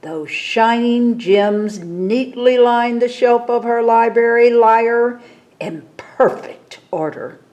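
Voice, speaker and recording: A woman reading a picture book aloud at a library story time, expressive, with explanatory asides to children. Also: on the high side, slow, dull audio.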